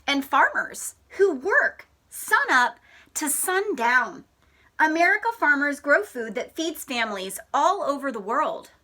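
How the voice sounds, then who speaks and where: A woman teacher reading a picture book aloud to children in a deliberate, expressive way, pausing to explain and ask questions. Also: high voice. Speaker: elementary school teacher.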